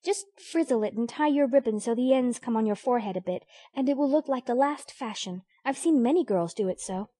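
A woman's voice: fairly high pitch, brisk, hardly any pauses, dark tone, slightly rough, pitched above the performer's own voice. A woman voicing a superior, affected young girl, the youngest sister in an audiobook, airy and knowing. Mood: consoling. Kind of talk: audiobook character voice.

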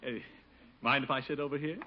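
An actor playing a vain, bashful, easily flustered middle-aged American official in a 1950s radio sitcom, blustery and chuckling. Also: male voice, medium pitch, steady tone, fairly quick, lightly acted. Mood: playful. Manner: blustery, chuckling, hesitant asides. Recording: noisy, muffled 1950 radio recording.

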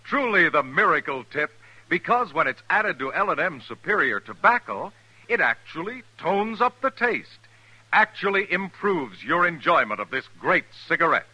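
A man's voice: fairly high pitch, very animated, moderate pace, sharp tone, breathy edge, rough recording. A man with an American accent delivering a 1950s radio advertisement as an announcer. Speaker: commercial announcer.

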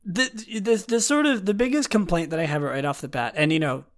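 A man hosting his own show, his throat hoarse and raspy from a long stretch of talking. His voice sits a little higher than most men's. He talks loosely, circling back and restarting sentences, with wry jokes at his own expense. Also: brisk. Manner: rambling, with frequent restarts and self-deprecating asides. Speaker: podcast host. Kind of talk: casual solo commentary, partly reading a write-up aloud.

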